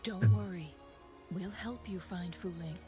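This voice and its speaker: An actress playing a composed young noblewoman in a game, speaking in a calm, measured way. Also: on the low side, over music. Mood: reassuring. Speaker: game character. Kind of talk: game voice acting.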